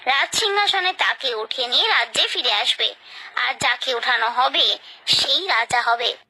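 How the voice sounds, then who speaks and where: A woman's voice: sits high, expressive, a little slow, bright, forceful tone, slightly rough, low-quality recording. A woman narrating a scripted children's story, expressively and directly addressing her young listeners.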